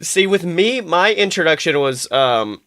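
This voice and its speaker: Bright, animated male podcast co-host. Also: on the high side, a little slow, no room sound, studio quality. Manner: animated, exclamatory, sweary. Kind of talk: casual comedic banter and anecdotes.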